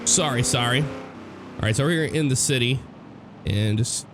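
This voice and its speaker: A young American man with a cold-roughened, hoarse voice, rambling casually as he comments on a driving game. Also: medium pitch, a little slow. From United States. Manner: rambling, relaxed, many fillers and restarts. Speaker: gameplay commentator. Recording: game car sounds loud behind voice. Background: car engine and road noise from the game.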